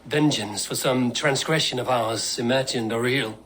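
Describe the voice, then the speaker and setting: An actor playing a steady, resolute male viking clan leader in a video game, measured in his speech. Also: mid-range voice, a little hiss or hum, natural voice. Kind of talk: video game cutscene acting.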